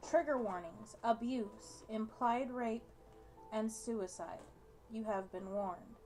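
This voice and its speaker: A woman's voice: fairly high pitch, takes it slowly, darkish tone, faint music behind. A young woman hosting a gaming video, chatting casually and reading game text aloud.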